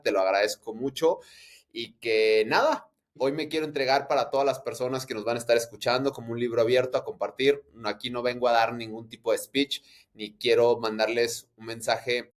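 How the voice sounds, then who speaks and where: A Mexican man in his thirties with a Mexican Spanish accent, talking as a guest in an interview in a reflective, open way. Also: medium pitch. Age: 30s. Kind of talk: casual interview conversation.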